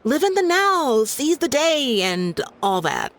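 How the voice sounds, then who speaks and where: A woman playing a feisty, loyal townswoman in a video game, emphatic and quick to scoff. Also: high-pitched, sing-song, fairly bright tone, full character voice. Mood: conceding, brisk. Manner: emphatic, scolding.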